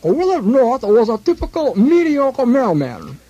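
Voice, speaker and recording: A man's voice: very high-pitched, wildly swooping pitch, a little slow, dark tone, a little hiss or hum, heavily acted. An actor playing a matter-of-fact male post office supervisor giving critical testimony in a radio drama. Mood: disparaging. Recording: slightly dull old radio sound.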